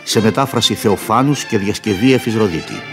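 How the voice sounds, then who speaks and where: A man reading scripted radio drama credits in a formal, measured announcer's manner. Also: fairly low pitch, lively intonation. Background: prominent violin music.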